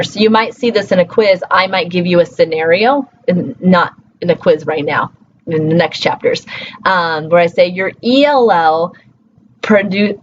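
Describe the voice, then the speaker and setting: A woman who teaches college, giving a casual recorded lecture, full of "um"s and quick self-corrections. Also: lowish voice. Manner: casual and chatty, with many fillers and self-corrections. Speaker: college instructor. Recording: slightly muffled, faint hiss.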